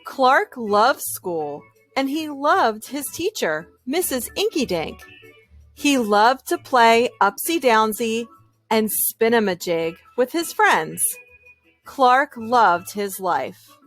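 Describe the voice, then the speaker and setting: A woman reading a children's story aloud in an expressive, deliberate storytelling style. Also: fairly high pitch, soft background music. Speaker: storybook reader.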